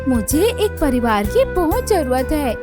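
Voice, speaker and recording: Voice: high voice, big pitch swings, a little slow, over music. A performer voicing a meek young female bird, a polite, pleading orphan daughter-in-law in a cartoon. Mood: gentle, forgiving.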